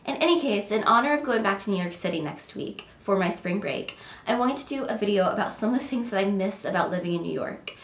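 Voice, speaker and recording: A young woman talks to her camera in a bubbly, chatty way, peppering her speech with "um" and "like". She has a cold, so she sounds a little stuffy, and her mid-pitched voice rings in an echoey room. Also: animated, fast, few pauses. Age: young adult. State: nasal from a cold. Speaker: vlogger. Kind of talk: casual vlog chat.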